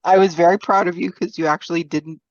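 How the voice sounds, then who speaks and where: A woman's voice: fairly low pitch, fairly even intonation, fast, a little mellow, no room sound, highs cut off. A woman co-hosting a podcast, chatting casually and mostly giving short, agreeing replies. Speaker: podcast co-host. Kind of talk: casual chat.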